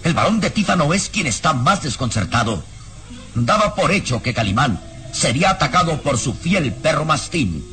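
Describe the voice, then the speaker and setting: A man's voice: on the high side, sing-song, normal speed, pushed and bright, faint music behind. Dramatic male radio drama narrator. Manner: dramatic, present-tense scene describing.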